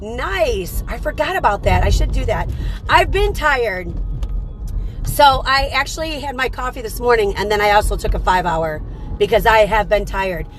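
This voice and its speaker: Upbeat woman with a slightly raspy voice. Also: a little high, animated, moderate pace, rough recording. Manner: upbeat, chatty, greets viewers by name. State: fighting off a cold. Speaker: livestream host. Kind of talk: casual morning livestream chat.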